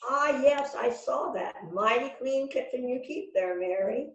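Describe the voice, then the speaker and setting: A woman's voice: high-pitched, animated, measured pace. A woman playing an agreeable, eager assistant detective in an amateur readers theater mystery. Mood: eager, helpful. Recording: echoey, dull, slightly noisy call audio.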